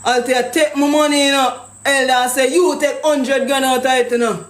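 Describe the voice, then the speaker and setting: Jamaican man, very high voice, pushy accusing prank caller, echoey room. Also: steady tone, moderate pace, fairly bright tone. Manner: pushy, accusing, patois-heavy. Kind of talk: prank phone call.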